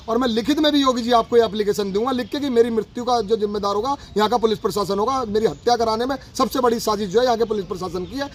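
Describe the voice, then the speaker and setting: Very high-pitched man, aggrieved and insistent. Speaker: activist leader of an organisation. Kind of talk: spoken press statement. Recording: faint ambient background noise.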